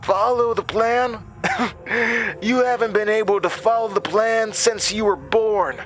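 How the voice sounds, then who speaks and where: A man plays a sadistic camp director in a horror radio play. His voice is pitched up and forceful, taunting and gloating with sinister relish. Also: slow pace. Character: cruel, crazed male camp director, coldly menacing and gleeful.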